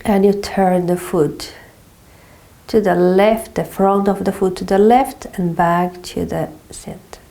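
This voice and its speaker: Woman, stuffy cold nose, talks you through foot moves real slow, soft dark tone. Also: medium pitch, gravelly. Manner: slow, calm step-by-step guidance with frequent "okay". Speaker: movement teacher. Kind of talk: guided exercise instruction.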